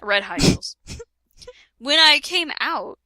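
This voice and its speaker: Giggly woman reading aloud, bright voice, unhurried, dry close sound. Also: high-pitched, expressive, no room sound, poor audio. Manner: giggly, breaks off for joking asides. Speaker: reader of a parody fan fiction. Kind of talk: casual comic dramatic reading.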